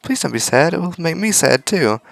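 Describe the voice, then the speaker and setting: A man voicing a gentle young robot girl from a visual novel, politely and wistfully. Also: fairly high pitch, sing-song, a little fast, creaky, no echo, pitched above the performer's own voice, exaggerated voice.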